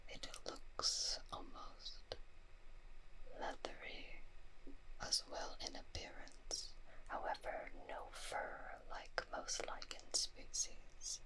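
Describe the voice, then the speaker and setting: A woman performing an ASMR roleplay as a calm, fascinated doctor, measured and clinical in a soft breathy whisper. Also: rough recording. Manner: measured, clinical note-taking with murmured asides.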